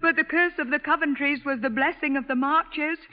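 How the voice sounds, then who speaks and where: Young woman writer, played by an actress: high pitch, impulsive, earnest, emotional, tinny vintage radio drama. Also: steady tone, fairly quick, close to an everyday voice. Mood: flustered, hurt. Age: young adult. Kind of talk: 1940s radio drama acting. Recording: phone-like old 1940s radio broadcast.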